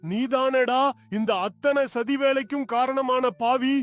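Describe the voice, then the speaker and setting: A male actor as an accusing villager in a moral tale. His voice is extremely high for a man, with a scolding, confrontational manner. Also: fairly even intonation, slow.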